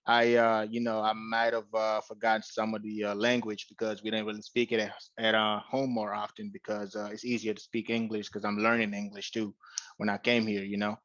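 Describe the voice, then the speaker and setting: A man's voice: lowish voice, completely flat delivery, fairly quick, a bit bright, dry recording, highs cut off. A young man from Guinea raised in Atlanta, with a West African and Atlanta accent, chatting as an interview guest, hesitant with many uhs and easy laughs. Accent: West African mixed with Atlanta. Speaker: guest, YouTube creator. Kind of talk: casual interview chat. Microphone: headset on video call.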